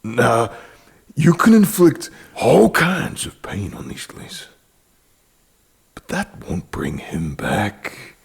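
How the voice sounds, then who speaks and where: A man acts out a stern but compassionate sheriff of the Old West for an audio drama. His is very husky and creaky, delivered slowly and emphatically, sinking into breathy lows. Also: wildly swooping pitch, full character voice. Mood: pleading, insistent. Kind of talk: Western audio drama acting.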